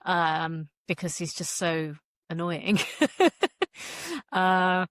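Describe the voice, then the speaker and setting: A woman's voice: on the low side, level tone, drawn-out words, a bit bright, no echo, studio quality. A woman speaking as a guest author in a casual, chatty interview.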